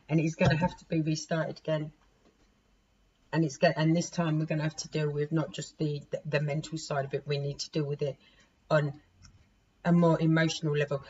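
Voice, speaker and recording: Hoarse chatty woman in her forties. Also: low voice. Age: 40s. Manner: chatty, laughs at herself, repeats and restarts. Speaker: tarot reader. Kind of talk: casual tarot reading to camera. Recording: dull lo-fi recording with faint noise.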